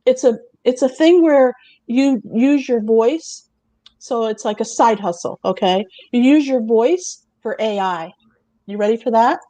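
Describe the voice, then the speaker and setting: Chatty, fairly high-voiced woman host. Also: unhurried, warm tone, dry recording. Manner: chatty, laughs often, reads aloud from notes. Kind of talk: casual chat.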